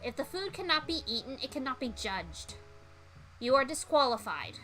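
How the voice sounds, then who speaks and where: A woman's voice: sits high, very animated, moderate pace, bright, forceful tone, faint music behind, full character voice, rough recording. Woman voicing a fussy, theatrical dog professor. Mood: stern. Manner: theatrical, indignant, erratic. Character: fussy, grumpy male dog professor judging cooking.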